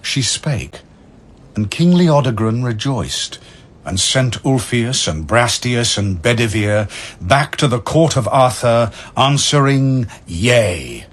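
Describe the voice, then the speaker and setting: A man giving solemn, measured dramatic narration of verse as a storyteller. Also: medium pitch, lively intonation.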